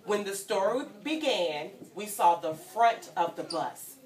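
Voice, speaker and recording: A woman reading a story aloud to young children in an animated, teacherly way, prompting them to join in and breaking into sung refrains. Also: mid-range voice, unhurried, slight background noise. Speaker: guest story-time reader for young children. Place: indoor room at a childcare centre. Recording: echoey room, distant mic, children around.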